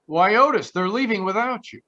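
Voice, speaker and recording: Man playing a bright-voiced wisecracking private eye. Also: sits high, expressive, a little fast. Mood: breezy, teasing. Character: wisecracking, cocky male private detective. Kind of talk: old-time radio noir narration and dialogue. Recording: dull online video-call audio.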